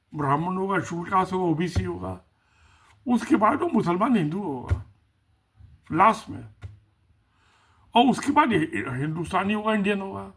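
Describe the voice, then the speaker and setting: A man's voice: sits high, a bit bright, slightly rough. A man giving casual spoken political commentary, musing and repeating himself, asking rhetorical questions.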